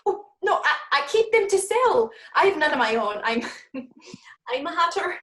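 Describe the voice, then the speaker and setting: A nervous, flustered storybook hatter, acted by a stage reader, speaking in a high, apologetic voice. The speech is hesitant and stammering, with a roomy echo around it. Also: big pitch swings, normal speed, pushed and bright, heavily acted.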